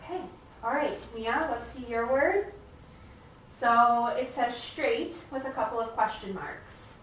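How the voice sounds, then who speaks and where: A woman's voice: on the high side, a little slow, fairly bright tone. Echoey, distant woman playing an encouraging therapist. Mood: encouraging, careful. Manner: hesitant, encouraging, affirming. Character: encouraging, careful female family therapist. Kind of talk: role-played therapy session. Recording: very echoey room, distant mic, faint noise.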